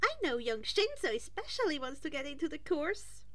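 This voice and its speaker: Female reader as little male animal principal, storybook character, high-pitched, chirpy, shrewd, formal, animated intonation. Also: moderate pace. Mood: inquiring.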